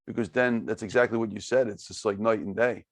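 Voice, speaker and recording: A man's voice: on the low side, fairly even intonation, fast, no echo, clean studio sound. A man hosting a podcast interview, giving short reactions and asking follow-up questions. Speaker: podcast host. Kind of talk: casual interview.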